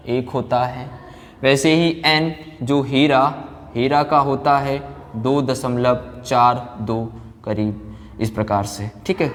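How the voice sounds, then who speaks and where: A man teaching a physics class like a lecturer, asking questions and answering them himself. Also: a little high. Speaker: science teacher. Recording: very reverberant room, background noise.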